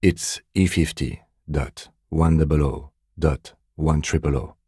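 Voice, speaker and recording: A meticulous male police detective from a video game, with an extremely low, mellow voice. He is deadpan and restrained, measuring out each remark with dry sternness. The voice is slightly husky. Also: unhurried, dry recording, heavily acted. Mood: deadpan, stern. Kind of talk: scripted game dialogue.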